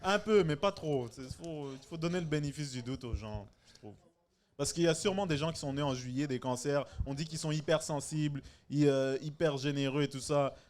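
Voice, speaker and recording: A man's voice: fairly high pitch, a little fast, a little mellow. A man in his thirties, born in France and long settled in Quebec, chatting casually and playfully as a comedian guest. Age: 30s. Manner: playful, joking. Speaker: stand-up comedian, podcast guest. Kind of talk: casual chat.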